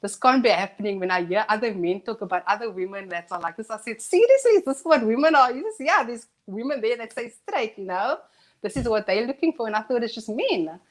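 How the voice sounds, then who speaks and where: A woman co-hosting a live talk show who talks earnestly and thinks out loud. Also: a little high, animated, fairly quick. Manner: earnest, reflective, thinks aloud. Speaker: co-host. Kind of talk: casual discussion on a live show.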